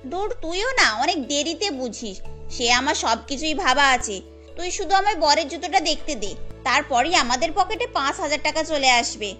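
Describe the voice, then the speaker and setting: A woman's voice: high-pitched, animated, moderate pace, pushed and bright, soft background music. A woman voicing a bossy, scheming young woman in a cartoon, chiding and chatty.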